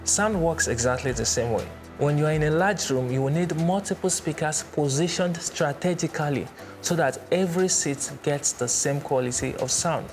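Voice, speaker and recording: A man presenting an explainer in a measured, didactic way, stressing his points. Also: fairly high pitch. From Nigeria. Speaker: presenter, church sound instructor. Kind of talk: explainer with everyday analogies. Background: background music.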